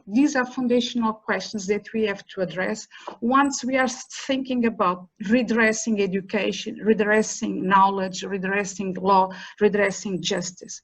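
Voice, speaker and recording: Measured non-native woman academic speaker. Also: medium pitch, poor audio. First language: a language other than English or Portuguese. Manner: measured, emphatic, with repeated words and restarts. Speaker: academic panelist. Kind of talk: roundtable talk.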